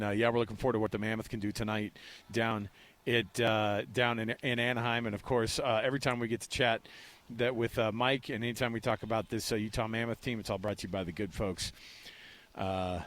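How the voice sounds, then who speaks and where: A man's voice: on the low side, fairly bright tone. American man hosting casual sports radio.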